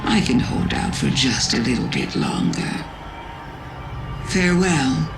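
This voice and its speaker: Ancient witch queen, scheming, very unhurried, theatrical game villain, reverberant sound over music. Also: a bass voice, lively intonation, vocal fry, heavily acted. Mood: sly, patient. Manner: slow, theatrical, savoring her words. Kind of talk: video game cutscene acting. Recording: echoey, with loud music behind.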